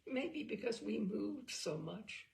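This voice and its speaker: A woman reading a short story aloud in an expressive, measured, dramatic storytelling manner. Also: fairly high pitch, vocal fry, a little hiss or hum. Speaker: reader of short fiction. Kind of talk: expressive literary narration read aloud.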